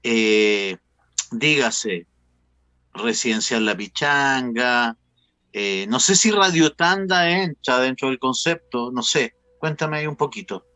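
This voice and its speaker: A man's voice: medium pitch, slow pace, sharp tone, no echo. A man with a Chilean accent hosting a casual, conversational interview. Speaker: host interviewer.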